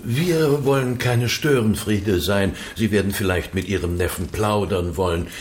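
An actor playing a touchy, stiffly polite provincial husband in a German radio drama, speaking formally and emphatically. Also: male voice, lowish voice, measured pace, a little hiss or hum, natural voice.

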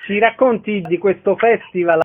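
Higher-voiced male radio interviewer, thin sound. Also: normal speed. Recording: narrow, phone-like radio sound.

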